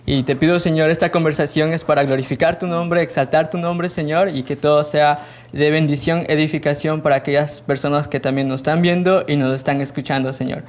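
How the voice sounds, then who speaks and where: Man, podcast host, fairly high voice, friendly interviewer, laughs easily. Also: fairly even intonation, brisk.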